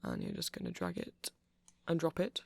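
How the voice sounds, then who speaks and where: Man playing a creaky-voiced nerdy vampire, rambling gently. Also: on the high side, wildly swooping pitch, normal speed, dry recording, heavily acted. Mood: earnest explaining. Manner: hesitant, meandering, explanatory. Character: nerdy male vampire, rambling tech enthusiast, gentle boyfriend. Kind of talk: ASMR roleplay, rambling tutorial. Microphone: close mic, dry.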